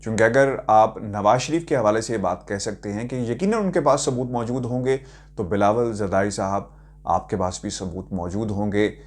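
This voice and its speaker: Guy doing political news commentary for his viewers, kinda halting, stops and hesitates a lot. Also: on the low side. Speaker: political commentator and video host.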